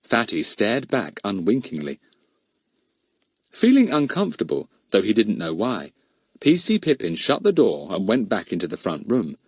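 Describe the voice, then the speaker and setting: A man reading a children's story as an audiobook narrator, in an expressive storytelling delivery. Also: lowish voice, moderate pace, breathy edge, no room sound.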